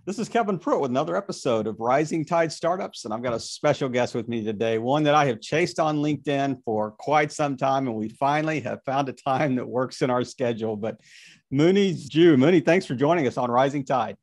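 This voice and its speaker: A man's voice: medium pitch, brisk. A man hosting a podcast interview, rambling with repeated words and restarts. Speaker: podcast host.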